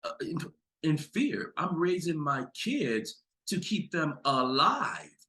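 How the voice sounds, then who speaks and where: A man with a deep voice and an American accent, a psychologist speaking as a podcast guest, talking with emphatic, building intensity. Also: wildly swooping pitch, takes it slowly, bright, forceful tone. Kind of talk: impassioned conversational talk.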